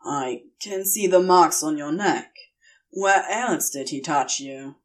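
A woman's voice: low-pitched, slow pace, far from the mic, pitched below the performer's own voice. A woman voicing a tense, protective male demon in an audiobook, curt and restrained yet tender. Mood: tense concern.

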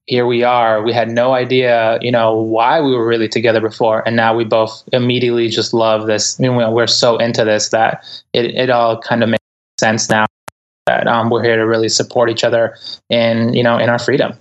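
Earnest, flat-voiced man from Ecuador. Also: medium pitch, normal speed, lo-fi sound. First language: Spanish. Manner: earnest, thoughtful, many soft fillers. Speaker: guest author. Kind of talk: reflective interview conversation. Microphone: remote interview over the internet.

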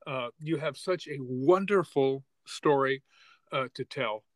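A man hosting a podcast, enthusiastic and halting as he welcomes and questions his guest. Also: medium pitch, no room sound. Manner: warmly enthusiastic, halting with restarts. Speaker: podcast host. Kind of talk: conversational interview.

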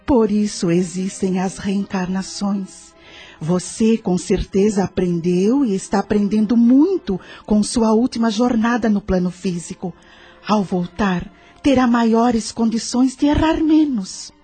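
An actress with a Brazilian accent playing a patient, wise spirit mentor in a radio drama, measured, reassuring and instructive. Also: female voice, mid-range voice, mellow, slightly rough, soft background music.

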